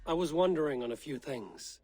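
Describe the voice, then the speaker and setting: Calm direct viking lead, bit higher voice, asking questions. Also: male voice, fairly quick. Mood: questioning. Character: probing male Viking hero investigating a betrayal. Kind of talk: game dialogue.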